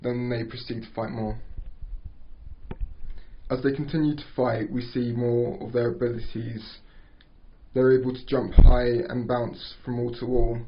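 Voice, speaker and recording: A man narrating stage directions at an amateur script table read, halting and stumbling over words. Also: medium pitch, level tone, a little hiss or hum. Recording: dull lo-fi recording.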